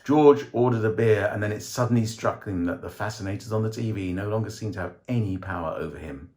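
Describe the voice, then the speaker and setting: A man's voice: lowish voice, fast, a little mellow. A man reading a short story aloud as a dramatic scripted narration.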